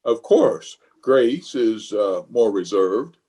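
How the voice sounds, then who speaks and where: An actor playing a pompous, fussy middle-aged water commissioner who gets easily exasperated, in an old-time radio comedy style. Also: male voice, lowish voice, animated, slow, warm tone. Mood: deliberating. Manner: self-important, exasperated.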